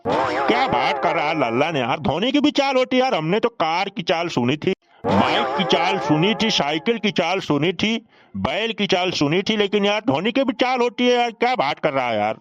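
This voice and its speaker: Cartoon old uncle played by a man: high-pitched, boastful, mocking, colloquial bluster. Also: big pitch swings, normal speed, fairly bright tone, highs cut off, full character voice. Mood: baffled, defensive. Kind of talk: comic cartoon dialogue.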